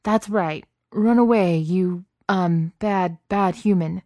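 A woman's voice: lowish voice, takes it slowly, warm tone, no echo, clean studio sound, pitched above the performer's own voice. A woman voicing a huge but timid, apologetic young male beast in a story, hesitant and stammering, with teenage slang. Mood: nervous bluffing. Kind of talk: audiobook character voice.

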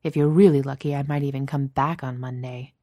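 A woman doing a low, grumpy deadpan office guy. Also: brisk, no echo, clean studio sound. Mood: gruff, deadpan. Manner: gruff, deadpan, sarcastic. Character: grumpy, dry-witted, caffeine-starved male office writer.

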